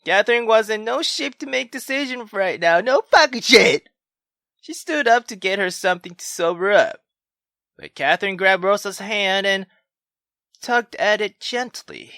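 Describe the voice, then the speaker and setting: A woman hosting a gaming video, reading a visual novel aloud with expressive, casual, sweary commentary. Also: medium pitch, normal speed, no room sound, studio quality. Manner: expressive, swearing, exclamatory asides. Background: faint game music.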